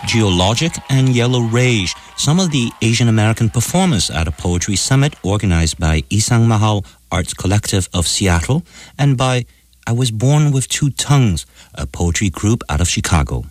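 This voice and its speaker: A male reporter narrating a scripted radio news feature in a measured, even way. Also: fairly low pitch.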